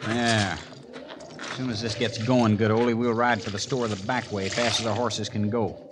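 An actor playing a scheming young outlaw who gives curt orders in a 1950s radio drama. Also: male voice, fairly low pitch, fairly even intonation, moderate pace, natural voice. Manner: bossy, curt. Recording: noisy old radio broadcast.

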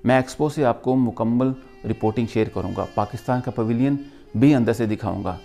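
A middle-aged man with a Pakistani accent gives an earnest, emphatic motivational talk to camera in deliberate, paused phrases. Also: mid-range voice, vocal fry, warm tone, faint music behind. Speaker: vlogger, motivational speaker.

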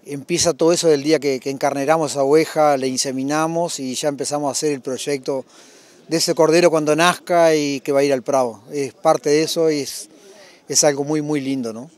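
A man's voice: fairly high pitch, steady tone, a little fast. An older man with a Uruguayan accent, a breeders' society president, being interviewed outdoors. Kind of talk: spoken interview answer. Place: outdoors at a showground. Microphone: handheld interview microphone.

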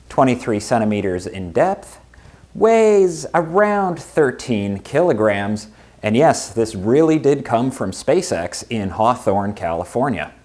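A man in his thirties, a veterinarian, hosting a product unboxing in a living room and talking with eager, chatty enthusiasm. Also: mid-range voice. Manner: enthusiastic, chatty, lively exclamations. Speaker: veterinarian and channel host. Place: living room with wooden table, indoors.

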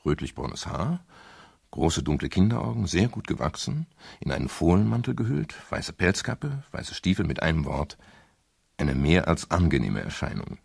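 An actor playing a wry private detective, narrating his case drily for a radio crime drama, with a gravelly, husky voice. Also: sits low, darkish tone, no room sound, studio quality, full character voice. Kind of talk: scripted first-person radio crime narration.

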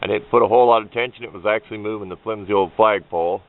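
A man's voice: lowish voice, fairly even intonation, very fast. A man giving a casual, plain-spoken, folksy homemade how-to narration as a hobbyist, picked up on a handheld camera microphone. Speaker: hobbyist demonstrator. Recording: muffled phone-like camera audio with background noise.

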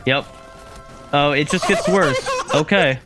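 Young man, fairly high voice, jokey co-host, explains things, faint music underneath. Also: slow. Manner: chatty, jokey, explains things, stammers when excited. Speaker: co-host reacting to gameplay clips. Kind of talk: casual reaction commentary.

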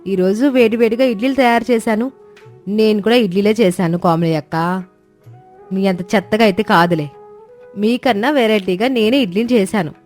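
A woman's voice: mid-range voice, moderate pace, darkish tone, soft background music, close to an everyday voice. A woman voicing an eager, boastful, competitive young daughter-in-law in a family comedy story.

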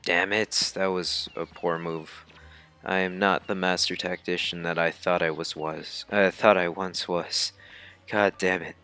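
A man's voice: sits low, flat delivery, a little slow, bright, forceful tone. A man giving casual, laid-back commentary while playing a video game. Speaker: let's-player. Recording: game music behind. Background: video game music.

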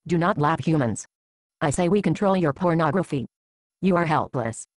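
A woman voicing a grandiose, coldly logical robot conqueror in an animated comedy, speaking in a formal, declamatory way. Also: low-pitched, moderate pace, no echo, clean studio sound. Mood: menacing. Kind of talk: scripted animated comedy dialogue.

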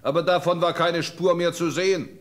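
A baffled, apologetic farmhand played by a man in a fairy-tale audio play. His voice sits a little high for a man. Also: fairly even intonation, a little fast, fairly bright tone, natural voice.

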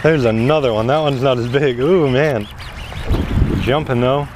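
A young man fishing at a pond and talking to camera, exclaiming loudly each time he hooks a fish. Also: medium pitch, measured pace. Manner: excitable, exclaims at each catch. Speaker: fishing vlogger. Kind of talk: casual first-person fishing vlog. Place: outdoors at a pond by a large building. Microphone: head-mounted action camera. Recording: noisy outdoor action-cam audio.

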